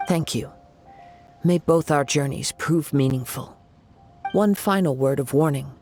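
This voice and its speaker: A calm young wandering samurai in a video game. His voice is fairly high with a creaky edge, measured and poetic, over music. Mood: solemn. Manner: measured, reflective, formal. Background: soft game music.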